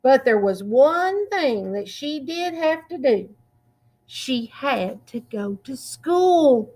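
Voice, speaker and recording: A woman reading a children's picture book aloud in a deliberate, expressive way, drawing out words. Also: high voice. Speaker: children's storytime reader.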